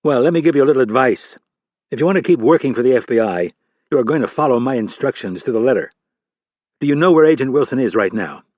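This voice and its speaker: A man's voice: mid-range voice, lively intonation, fast, a little mellow, dry recording, muffled recording, natural voice. A man voicing a stern, intimidating male FBI executive who barks orders at a junior agent. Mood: stern, threatening. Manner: barking, intimidating.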